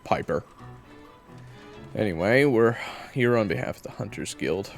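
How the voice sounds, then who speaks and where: A man playing a gruff, sarcastic and fastidious monster hunter in an audio roleplay, dry, scolding and blunt. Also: fairly low pitch, expressive, faint music behind. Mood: dry, bossy.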